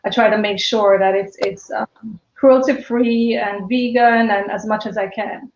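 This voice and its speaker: A woman's voice: mid-range voice, steady tone, unhurried, reverberant, highs cut off. A woman in her fifties with a Jamaican accent blended with British and American sounds, chatting casually and laughing often as a guest on a live stream. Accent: Jamaican, mixed with British and American. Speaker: guest, small business owner.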